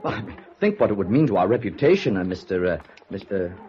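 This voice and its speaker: An actor playing a snobbish, obsequious hotel clerk in a 1950s radio drama, prissy and over-polite. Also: male voice, deep voice, very animated, fast talker. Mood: flustered, fawning. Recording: muffled vintage radio recording.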